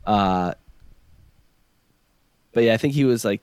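A young man chatting on a podcast while sick, sounding deeper than usual and filling his talk with "like" and "um". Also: takes it slowly, a little mellow, clean tone, dry recording. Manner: chatty, lots of "like" and "um". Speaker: podcast host. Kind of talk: casual chat recapping a reality show.

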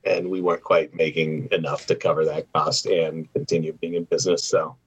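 A man with a very low, rough-edged voice talks casually among friends on a podcast. His language is informal and loose. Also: little pitch movement, a little fast, low-quality recording. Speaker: podcast guest. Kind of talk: casual profane group chat.

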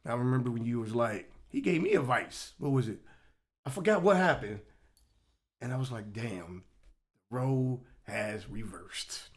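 A man in his forties hosting a casual podcast chat, telling stories in an animated, joking way. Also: mid-range voice, measured pace. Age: 40s. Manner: animated storyteller, loose and joking. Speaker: podcast host.

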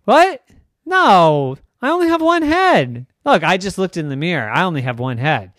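Whiny little brother in a bedtime story, high and indignant, put on by the storyteller, close dry sound. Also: very animated, slow pace, fairly bright tone, no echo, exaggerated voice. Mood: protesting.

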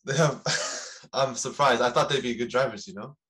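A young man hosts a casual podcast, his voice at an ordinary middle pitch. He talks in a slangy, excitable way and laughs readily at his guest's stories. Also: moderate pace. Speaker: podcast host. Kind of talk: casual chat.

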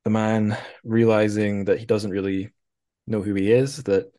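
A man's voice: lowish voice, little pitch movement, unhurried, warm tone. A man hosting a casual interview podcast, musing aloud with hesitant, tentative phrasing. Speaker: podcast host.

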